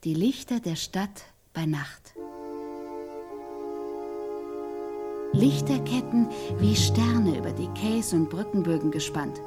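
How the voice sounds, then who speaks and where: An actress playing an insistent, exacting scriptwriter who invents a tragic love scene in a stylised radio play, speaking deliberately and precisely. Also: female voice, medium pitch, lively intonation, dark tone, faint music behind, close to an everyday voice. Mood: evocative, intent. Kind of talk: stylised radio drama acting.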